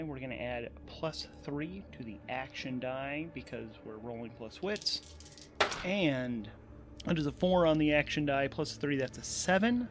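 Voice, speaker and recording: Higher-voiced man narrating a tabletop game. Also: background noise. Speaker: host, narrator and game master. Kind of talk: solo tabletop game narration, thinking aloud. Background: background music.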